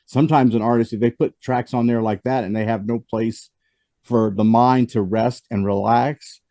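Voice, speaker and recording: Gravelly, worn-out man hosting a music chat. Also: medium pitch, steady tone, moderate pace, dark tone, no room sound, studio quality. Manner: rambling, with frequent restarts and fillers. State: losing his voice after the flu. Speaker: host. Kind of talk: casual music review chat.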